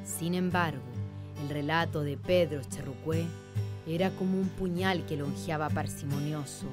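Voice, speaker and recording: A woman's voice: deep voice, fairly even intonation, normal speed, over music, close to an everyday voice. A woman narrating a radio drama in a solemn, literary manner.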